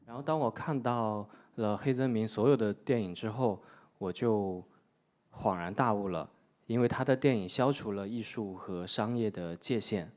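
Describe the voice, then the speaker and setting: Man, lowish pitch, reflective, steady, film director guest. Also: normal speed. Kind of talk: press conference answer.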